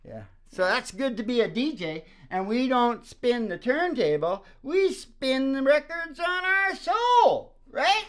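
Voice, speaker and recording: A woman's voice: sits high, big pitch swings, slow, few pauses. A woman host chatting casually between songs on a music show, in a rambling, folksy way. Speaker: radio show host and singer. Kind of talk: casual chat between live acoustic songs.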